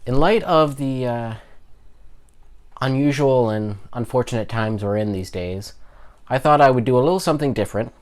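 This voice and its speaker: A man's voice: mid-range voice, normal speed. A young man who hosts a channel and reads his own writing aloud for viewers. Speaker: channel host and children's book author. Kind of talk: casual spoken introduction to viewers.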